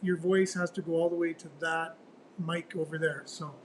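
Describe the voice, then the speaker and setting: Guy hosting morning news radio, loud high voice, rambles and asks questions, faint rumble behind. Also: flat delivery, normal speed. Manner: chatty, rambling, rhetorical questions. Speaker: news talk host. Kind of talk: casual news chat. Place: radio studio. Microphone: studio mic close up.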